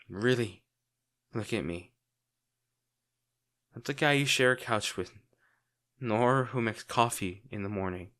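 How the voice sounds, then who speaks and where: A man playing a crime-boss villain in an intimate audio roleplay, secretly tender and worried, speaking sardonically with dramatic pauses. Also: on the low side, a bit bright. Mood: intense, bitter.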